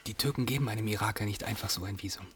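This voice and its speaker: A man's voice: lowish voice, rapid-fire, close to an everyday voice. A man acting as a young Iraqi refugee, earnestly narrating his story in a radio play. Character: young male Iraqi refugee telling his hard odyssey.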